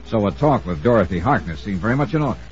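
Casting: male actor as wry American insurance sleuth, old radio serial, animated clipped delivery, muffled vintage sound. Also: lowish voice, quick pace, soft background music, close to an everyday voice. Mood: brisk, punchy. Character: brisk, wry freelance male insurance investigator. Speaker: star of a radio detective serial. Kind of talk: 1950s radio acting, first-person narration. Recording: dull old radio recording.

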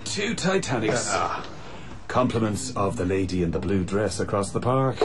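A man's voice: lowish voice, lively intonation, moderate pace, crowd noise, natural voice. An actor playing an unflappable, teasing barman with an Irish accent in a radio drama, wry and familiar.

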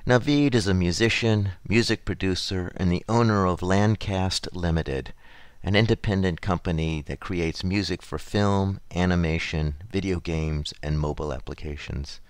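A male podcast host with a very low, deep voice reads his introduction in a measured, formal way. The sound is dry and close, with no room around it. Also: clear, resonant tone, no room sound. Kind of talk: scripted introduction and interview questions.

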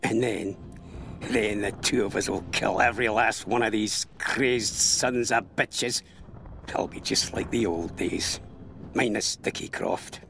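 An actor playing a gruff, bitter, protective older veteran with a gravelly voice in a game monologue. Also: male voice, on the low side, unhurried, a bit bright, slight background noise. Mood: bitter anger. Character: gruff old veteran, foul-mouthed, protective, grimly bitter. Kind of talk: dramatic game voice acting, journal monologue.

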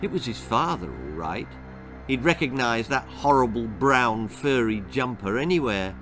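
An older man narrates a children's tale with theatrical deliberation. His delivery is slow and swings widely in pitch. Faint music sits behind him. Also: lively intonation. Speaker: author reading his own children's story. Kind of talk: dramatic storytelling, scripted narration. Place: small book-lined room indoors.

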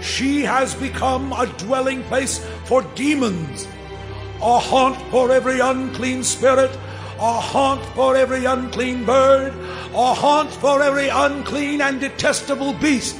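A mighty angel in an audio Bible drama, proclaiming doom over a fallen city. The voice is very high and declamatory, ringing in long reverb over clear music. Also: male voice, fairly even intonation, unhurried. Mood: wrathful proclaiming.

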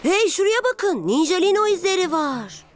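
A woman voicing an excitable, earnest six-year-old boy hero in a dubbed children's cartoon. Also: extremely high pitch, moderate pace, soft background music. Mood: excited.

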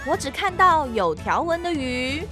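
A woman voicing an eager, friendly young fox police officer in a children's cartoon, animated and questioning, with a Taiwanese Mandarin accent. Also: high voice, unhurried. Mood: curious, searching. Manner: animated, questioning, exaggerated reactions. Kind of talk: children's cartoon dubbing, addressing young viewers. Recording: music behind the voice.

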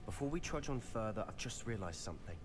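An actor playing an earnest young male student wizard in a video game, speaking in a smooth, measured way with clear noise in the audio. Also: fairly low pitch, lively intonation, close to an everyday voice. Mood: confiding. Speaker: game companion character.

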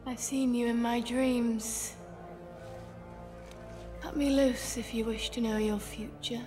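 An actress voicing a tattooed female seer who speaks slow, ominous prophecies in a game cutscene. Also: a little high, steady tone, over music. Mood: cryptic. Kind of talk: video game cutscene acting.

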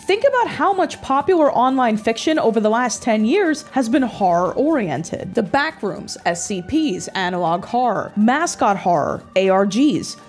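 A young adult woman narrating a scripted, wry video essay as a YouTube host, with deadpan, sarcastic emphasis, on a close microphone. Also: fairly high pitch. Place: small bedroom-style home studio. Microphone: close desk microphone. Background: background music.

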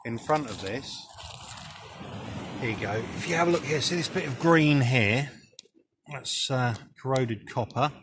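A middle-aged British man gives casual, off-the-cuff commentary in a halting, musing way as he looks around. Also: medium pitch, background noise. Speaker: vlogger. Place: outdoors on a beach below cliffs.

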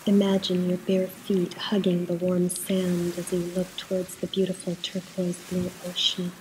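A woman leading a guided meditation in a soft, at times breathy voice, soothing and unhurried, with pauses between short instructions. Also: fairly low pitch, completely flat delivery, a little hiss or hum, low-quality recording.

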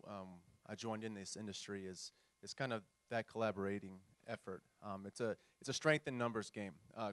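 Low-voiced man answering with halting repeats. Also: no room sound. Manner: halting, many fillers and repeated words. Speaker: brewery panelist. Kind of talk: panel discussion answer.

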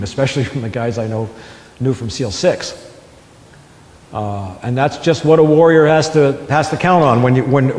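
A man's voice: medium pitch, a little mellow, echoey room, in a large hall. A man giving a live talk, reading his own essay aloud to an audience with impassioned, building emphasis. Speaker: public speaker.

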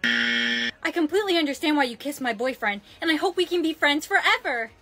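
A very high-voiced actress playing a young woman, melodramatic and overwrought. She exclaims and pleads in broad comedy-skit style. Light music plays behind her. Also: very animated, fairly quick, sharp tone, heavily acted. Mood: hurt, dramatic. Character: melodramatic young woman caught in party-game drama. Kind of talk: exaggerated comedy skit acting.

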